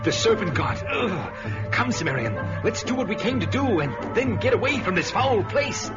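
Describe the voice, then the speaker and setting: A wary thief and sidekick speaks emphatically in a fairly high male voice. It is theatrical radio adventure, muffled, with very loud music underneath. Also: big pitch swings, moderate pace. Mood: awed, wary. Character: wary, urgent thief companion of a barbarian.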